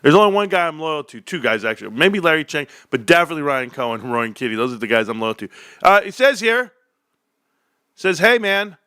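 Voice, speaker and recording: A man's voice: fairly high pitch, very animated, fairly quick, bright, forceful tone, smooth, dry recording, clean studio sound. A young man hosting a stock commentary stream, ranting in a combative, sarcastic way as he reads out messages and posts. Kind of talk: heated casual rant.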